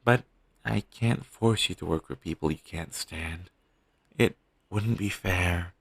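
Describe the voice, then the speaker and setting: A man playing a caring, teasing talent manager, coaxing a troubled actor in a one-sided audio roleplay with a low-quality sound. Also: slow, gravelly, husky, full character voice. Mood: apologetic, earnest. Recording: low-quality amateur recording.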